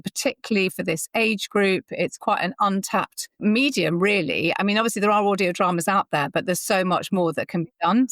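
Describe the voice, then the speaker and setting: A woman's voice: fairly low pitch, fairly bright tone, no echo. A woman talking enthusiastically and chattily as an interview guest. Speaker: audio drama producer, interview guest. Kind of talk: conversational interview.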